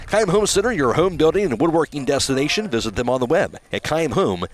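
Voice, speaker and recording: A man's voice: a little high, very animated, brisk. An American man working as a radio sportscaster, giving a post-game baseball recap.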